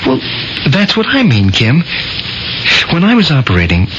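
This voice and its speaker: An American actor playing an earnest, sentimental young surgeon in a radio drama, speaking haltingly. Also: male voice, on the high side, huge pitch swings, background noise. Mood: shaken confiding. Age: young adult. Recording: muffled radio recording with music behind.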